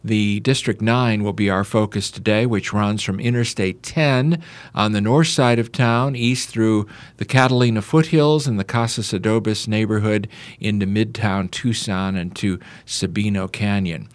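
A man's voice: fairly low pitch, unhurried, no echo. A man hosting a radio talk show, introducing and questioning a guest in a conversational broadcast style. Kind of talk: conversational radio interview, partly scripted introduction.

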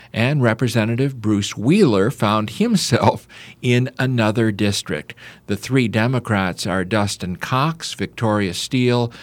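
A man with a fairly low voice works as a radio interviewer. He moves between prepared introductions and conversational questions, in a close, dry-sounding recording. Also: dry recording. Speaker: radio talk show host and interviewer.

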